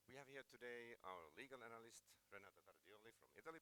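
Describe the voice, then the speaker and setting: A man with a Finnish accent speaking as a press officer, formally opening a press conference in a halting, procedural way. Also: mid-range voice, expressive, pushed and bright, a little hiss or hum, low-quality recording. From Finland.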